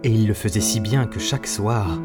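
An actor playing the narrator of a tall tale, with measured storybook telling in a radio fiction. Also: male voice, lowish voice, natural voice. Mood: measured storytelling. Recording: loud guitar music behind.

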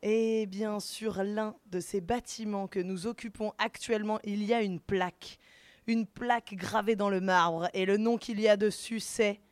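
A woman's voice: mid-range voice, darkish tone, no room sound. Radio host, a woman, very dry sound. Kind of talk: live festival radio presenting.

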